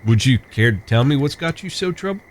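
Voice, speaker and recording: A man's voice: mid-range voice, expressive, fast talker, lightly acted. A man playing a cocky, chivalrous Old West gunslinger with folksy, courtly phrasing in a western audio drama. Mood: earnest, gentle.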